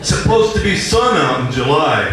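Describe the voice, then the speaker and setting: A man's voice: on the high side, big pitch swings, moderate pace, a bit bright, some chatter behind. A man voicing a bulky, dim, happy-go-lucky slacker in a live story reading, with a slack, oblivious manner. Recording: echoey stage recording from far off.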